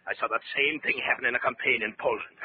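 An actor playing a bullying, secretly terrified German officer in a 1940s radio horror drama, barking commands insistently. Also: male voice, mid-range voice, quick pace, natural voice. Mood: agitated, ordering. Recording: scratchy, narrow-band 1940s radio recording.

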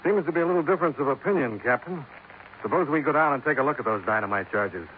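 An actor playing a cool, level-headed American insurance investigator, dry and matter-of-fact in a 1950s radio detective drama. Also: male voice, medium pitch, lively intonation, fast talker, phone-quality sound, a little hiss or hum, lightly acted. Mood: dry, probing. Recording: thin 1950s radio recording.

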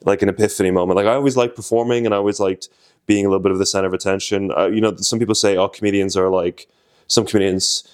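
A man's voice: fairly low pitch, fairly even intonation, rapid-fire, clean tone. A man in his twenties from Slovenia, a comedian chatting casually in an interview, rambling and self-deprecating with frequent restarts. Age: 20s. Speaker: comedian guest.

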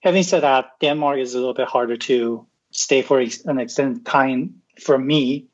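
A man's voice: medium pitch, normal speed. A man from Taiwan talks through his ideas as a guest, checking in with "right?" and restarting phrases as he goes. His voice sits in an ordinary middle register. The audio is dull and muffled. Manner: explanatory, frequent "right?" tags and restarts. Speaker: podcast guest. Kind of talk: casual interview talk.

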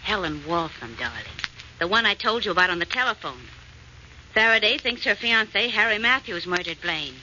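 A woman's voice: medium pitch, expressive, brisk, sharp tone. An actress playing a perky, loyal young woman helping her detective sweetheart in a 1940s radio drama. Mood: eager, coaxing. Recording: muffled, faintly noisy old radio recording.

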